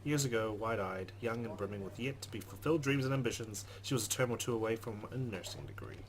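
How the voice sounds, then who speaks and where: A man's voice: on the low side, fast talker. A man hosting a let's play, reading game text aloud dramatically and adding wry asides. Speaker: gaming host. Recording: faint background noise.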